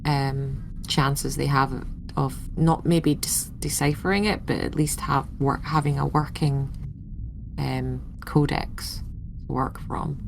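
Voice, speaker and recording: A woman's voice: extremely low pitch, flat delivery, slow, creaky, poor audio. A woman playing a tabletop game, casually speaking and narrating for her character as she thinks aloud. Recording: noticeable background noise.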